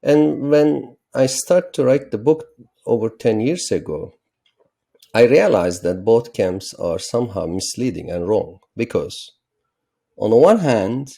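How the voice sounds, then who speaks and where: A middle-aged man from Turkey, a political science professor explaining his views as an interview guest in a measured, lecturing way. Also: fairly low pitch, expressive, gravelly, mellow. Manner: measured, lecturing, with rising emphatic stresses.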